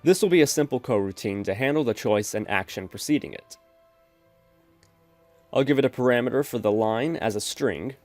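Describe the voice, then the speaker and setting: Male tutorial host, mid-pitched voice-over, even explanatory delivery, faint music bed. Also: moderate pace, no room sound. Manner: steady explanatory, addresses viewers as folks. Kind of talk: screen-recorded coding tutorial.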